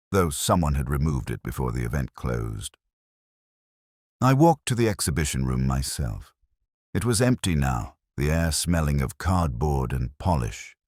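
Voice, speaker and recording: A man playing a reflective, sceptical English detective, narrating a mystery story in a measured, literary, brooding manner. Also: very deep voice, darkish tone, no room sound, studio quality, full character voice. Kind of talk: scripted first-person mystery narration.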